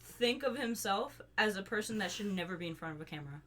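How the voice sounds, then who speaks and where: A woman's voice: medium pitch, lively intonation, quick pace, crowd noise. A woman chatting casually in a group, blunt and exasperated, swearing freely as she gives opinions.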